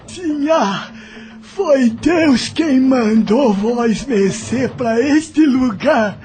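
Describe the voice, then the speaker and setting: A woman's voice: high voice, lively intonation, very slow, dark tone, exaggerated voice. A woman playing a humble, grateful enslaved plantation worker in an audio drama, with reverent rustic speech and a Brazilian accent.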